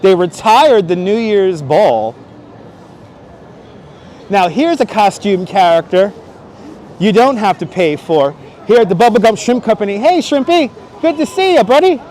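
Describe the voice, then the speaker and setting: A man's voice: sits high, expressive, unhurried, a little mellow. A man hosting a casual livestream outdoors, chatting playfully and exclaiming to his viewers as he goes. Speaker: livestream host. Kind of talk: casual livestream chat while exploring city streets. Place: outdoors on busy Manhattan streets. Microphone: wireless clip-on microphone. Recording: outdoor city street noise.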